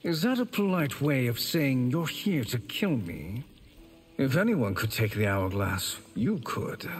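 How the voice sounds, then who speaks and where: An actor playing a scheming, arrogant sorcerer in a fighting game, with a mocking, theatrical delivery. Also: male voice, medium pitch, sing-song, unhurried. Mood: sly, mocking. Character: scheming, ambitious male sorcerer, arrogant and sly. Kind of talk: fighting game character dialogue. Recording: long reverb, music behind.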